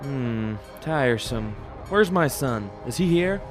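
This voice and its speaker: A man's voice: mid-range voice, animated, slow, music in the background. An actor playing a brusque, demanding father of a grown son in an old-time radio drama, blustering as he questions. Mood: impatient, surprised.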